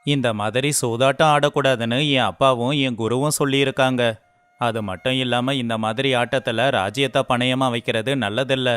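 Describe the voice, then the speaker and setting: Man playing a humble, principled small-kingdom king, clear voice. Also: mid-range voice, fairly even intonation, moderate pace, fairly bright tone, no echo, faint music behind, natural voice. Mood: earnest, firm. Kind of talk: moral story character dialogue.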